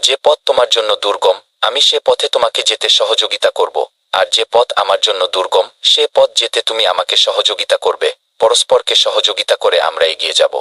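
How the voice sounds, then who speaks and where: Man voicing a husky, forceful cartoon rabbit. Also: on the low side, a little fast, no echo. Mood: earnest. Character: male cartoon rabbit, anxious, talkative, friendly. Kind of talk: dubbed children's cartoon dialogue.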